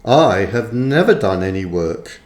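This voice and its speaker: A man reading his own book aloud as scripted narration, in measured, deliberate phrases with frequent pauses, in a roomy recording. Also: lowish voice, sing-song, a little mellow. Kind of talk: audiobook-style scripted narration. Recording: roomy, echoey sound.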